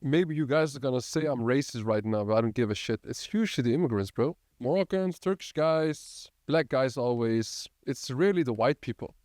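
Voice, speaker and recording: A man's voice: medium pitch, expressive, a little fast, hardly any pauses, darkish tone, no echo, studio quality. A young man hosting a podcast, brash and loose, tossing in slang as he talks. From Netherlands. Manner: brash, loose, says "bro" a lot. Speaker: podcast host. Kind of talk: casual podcast chat.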